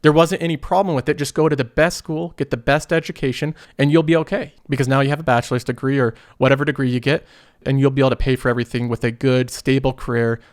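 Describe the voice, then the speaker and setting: A man's voice: medium pitch, steady tone, fast, no room sound, studio quality. A thirty-year-old man getting over a cold, explaining personal finance in an earnest, conversational monologue. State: recovering from a cold.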